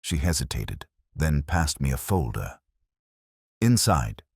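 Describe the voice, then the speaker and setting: A man playing a brooding, world-weary police inspector who narrates his case in a measured, sombre, wry literary style. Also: a bass voice, sing-song, slightly rough, dry recording, clean studio sound, heavily acted. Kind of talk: literary first-person detective drama narration.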